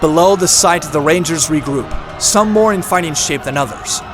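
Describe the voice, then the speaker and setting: A man's voice: fairly high pitch, normal speed. A man narrating a scripted action audio drama in a dramatic manner. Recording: very loud music and effects behind.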